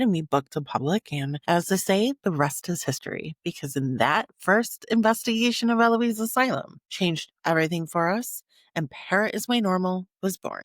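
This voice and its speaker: A woman's voice: medium pitch, no echo, clean studio sound. A woman hosting a podcast solo, narrating in a conversational, earnest and emphatic storytelling style. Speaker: podcast host.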